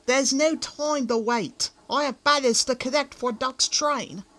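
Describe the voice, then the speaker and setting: A man's voice: very high-pitched, measured pace, pushed and bright, heavily acted. A man voicing an exasperated, chiding talking engine in a children's railway tale, with music behind him.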